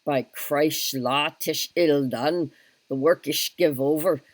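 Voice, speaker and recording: A man's voice: a little high, slow pace, no echo. Man putting on a fiery Irish captain, blustering oaths, dry close sound. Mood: agitated. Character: hot-tempered boastful male Irish captain. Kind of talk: solo dramatic reading of a stage play.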